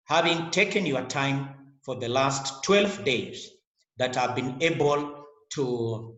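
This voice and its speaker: A man's voice: on the high side, fairly bright tone. A middle-aged man with a Kenyan English accent gives an online lecture to a webcam, speaking earnestly and repeating his words. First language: a Kenyan language. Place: small indoor room. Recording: echoey room.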